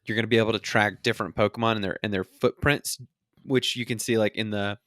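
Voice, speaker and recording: Lowish-voiced man co-hosting, dry close mic. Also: steady tone, quick pace, fairly bright tone, dry recording. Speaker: podcast co-host. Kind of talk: casual two-person podcast discussion of a mobile game.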